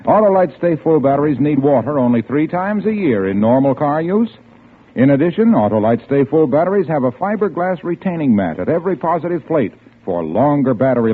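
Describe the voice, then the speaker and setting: Casting: male vintage radio pitchman, slightly high husky voice, emphatic and polished, on a narrow, tinny broadcast. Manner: emphatic, polished salesman delivery. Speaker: announcer and sponsor pitchman. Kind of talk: 1940s radio announcing, scripted ad copy. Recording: thin vintage radio broadcast, faint music.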